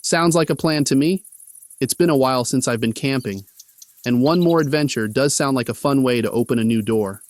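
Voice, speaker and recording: A man's voice: medium pitch, fairly quick, lightly acted. A man acting an easygoing, slightly stressed college-age artist in an audio drama, talking casually with some hesitation. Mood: upbeat. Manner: casual, hesitant slangy talk.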